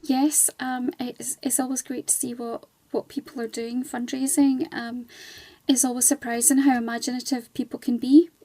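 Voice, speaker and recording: A woman's voice: sits high, level tone, normal speed, warm tone, no echo. A woman speaking as a charity ambassador and guest in a conversational radio interview, chatty and explanatory with wandering asides.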